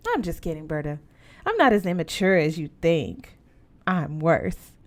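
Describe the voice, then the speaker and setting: A woman voicing a curious, eager young girl with a teasing, expressive manner in an audiobook. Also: low voice, unhurried. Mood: teasing, supportive. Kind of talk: acted audiobook dialogue.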